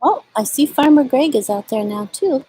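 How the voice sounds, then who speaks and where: A woman with a husky voice hosting a storytime for young children, speaking gently and in simple phrases as she reads and chats outdoors. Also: high-pitched, animated, moderate pace. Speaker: children's storytime host at a community farm. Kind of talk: storybook read-aloud and casual chat to children. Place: outdoors at a farm.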